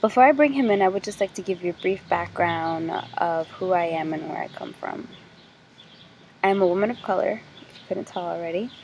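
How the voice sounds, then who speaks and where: A woman's voice: on the low side, a little fast, a little mellow, a little hiss or hum. A young American woman giving a class presentation and interviewing a guest, reading prepared figures with small stumbles. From United States. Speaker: student interviewer.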